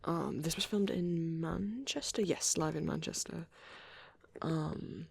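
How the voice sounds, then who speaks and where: Woman as shy vampire boy, ASMR roleplay, soft near-whisper, creaky low register, very dry close sound, flustered halting rambling. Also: no room sound, exaggerated voice. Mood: earnest, enthusiastic. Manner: hesitant, flustered, halting, with many stammers and trailing restarts. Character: shy young male vampire boyfriend, bashful and rambling.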